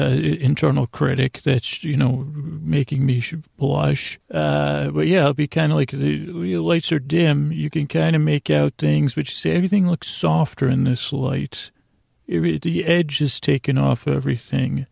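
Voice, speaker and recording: A man hosting a sleep podcast in a rambling bedtime monologue, soothing and lulling, halting and repeating his words, with a gravelly voice. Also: fairly high pitch, flat delivery, darkish tone, no echo, clean studio sound. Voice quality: gravelly, creaky.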